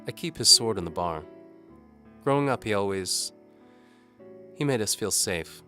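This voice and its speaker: A man's voice: lowish voice, animated, unhurried, bright, forceful tone, soft background music, pitched above the performer's own voice. A man voicing a proud, earnest young tavern woman, a knight's daughter, in an audio drama. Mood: earnest, wistful. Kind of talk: audio drama character acting.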